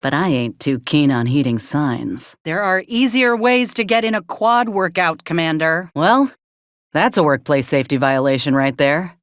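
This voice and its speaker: A woman's voice: sits low, animated, normal speed, no echo. A woman playing a wry, plainspoken former combat medic in a video game, loyal to her company, with dry, sardonic, folksy phrasing.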